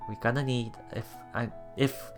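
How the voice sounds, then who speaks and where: A let's player reading a visual novel aloud in a halting way, with emotionally invested asides. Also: medium pitch, steady tone. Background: game music.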